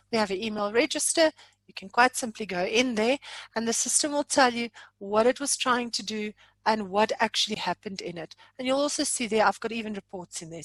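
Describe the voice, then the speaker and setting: A woman giving an informal live online software walkthrough as a trainer, chatty and playful, prone to exaggeration. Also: mid-range voice, no room sound, low-quality recording.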